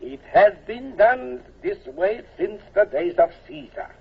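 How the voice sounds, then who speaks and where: An actor playing a stiff, formal Prussian colonel with a husky voice, lecturing precisely in 1940s radio style. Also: male voice, high-pitched, animated, measured pace, slight background noise. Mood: measured. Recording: tinny lo-fi old radio recording.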